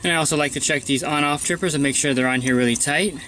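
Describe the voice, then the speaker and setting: A man's voice: fairly high pitch, fast talker, pushed and bright, a little hiss or hum. A pool service technician talking through his weekly routine in a plain, matter-of-fact instructional voice-over.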